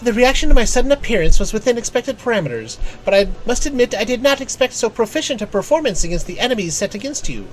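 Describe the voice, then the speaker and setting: A woman's voice: on the high side, very animated, fast talker, hardly any pauses, voice pushed up, rough recording. A performer voicing a bookish young woman, a precise and absent-minded arcanist tutor in a fantasy game, speaking formally and wordily. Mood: formal, self-assured. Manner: formal, verbose, analytical. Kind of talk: reading game character dialogue aloud.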